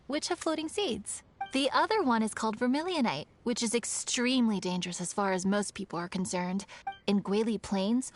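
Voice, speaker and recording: An actress plays a composed young merchant woman from a video game. She speaks courteously at a medium pitch, explaining in measured phrases over faint music. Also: lightly acted. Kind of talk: scripted video game dialogue.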